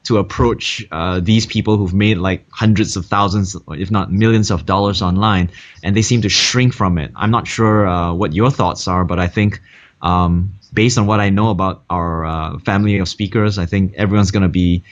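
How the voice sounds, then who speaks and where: A man's voice: deep voice, steady tone, moderate pace, dull audio. A man co-hosting a seminar promo over a video call, speaking hesitantly with many "uh"s and "um"s. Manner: hesitant, heavy on "uh" and "um". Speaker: seminar co-host.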